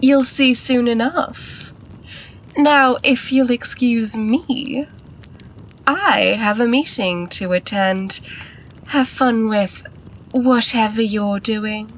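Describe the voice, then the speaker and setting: A woman's voice: a little high, slight background noise, rough recording. An actress playing a mocking, disdainful schemer who taunts her rivals in a fantasy audio drama. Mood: smug, mocking. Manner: taunting, unhurried.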